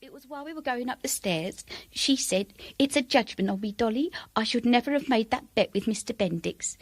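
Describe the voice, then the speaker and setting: A household servant in a radio drama. She is earnest and confiding, with a fairly high voice, recorded close and dry. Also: expressive, a little fast, no room sound. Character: earnest household servant woman giving testimony. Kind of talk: British radio drama acting.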